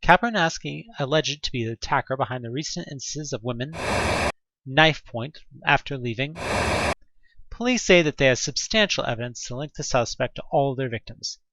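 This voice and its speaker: A man's voice: medium pitch, expressive, moderate pace, pushed and bright, dry recording, dull audio. A man reading out a newspaper crime report in a formal, detached manner within a horror audio drama.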